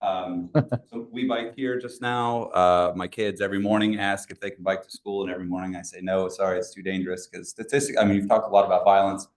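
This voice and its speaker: A man with a very deep voice asks a question from the floor at a community meeting. He talks quickly and flatly, and he is a bit halting at first. The sound of the room carries around him. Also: echoey room. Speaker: resident asking a question.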